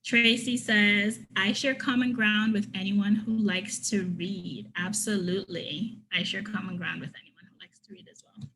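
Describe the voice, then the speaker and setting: A woman's voice: mid-range voice, fairly even intonation, moderate pace, pushed and bright, a little hiss or hum, rough recording. A woman from Trinidad giving an online conference workshop, earnestly reading participants' comments and responding to each one. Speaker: conference presenter.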